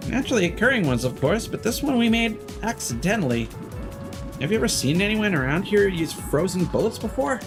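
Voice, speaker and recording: Man putting on a sassy, high-pitched game character voice, music behind. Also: very animated, brisk. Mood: animated, dry.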